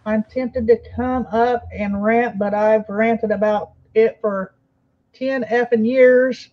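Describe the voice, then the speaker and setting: A woman's voice: a little high, steady tone, takes it slowly. Laid-back woman hosting a stream. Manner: laid-back, laughs often. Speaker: stream host. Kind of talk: casual chat. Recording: dull lo-fi mic.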